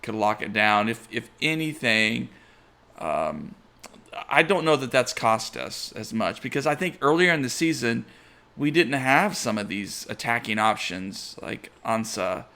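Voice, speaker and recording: A man co-hosting a casual soccer podcast, weighing points in a measured, analytical way. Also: medium pitch, expressive, vocal fry, bright, forceful tone. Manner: measured, analytical, reads listener questions. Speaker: podcast co-host. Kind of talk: casual sports chat.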